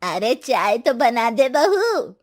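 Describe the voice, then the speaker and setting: A woman's voice: fairly high pitch, big pitch swings, slow, no room sound, heavily acted. A bossy middle-aged mother-in-law as a woman storyteller plays her, grumbling and demanding. Her words are drawn out and commanding, in a dry, close recording for a family storybook.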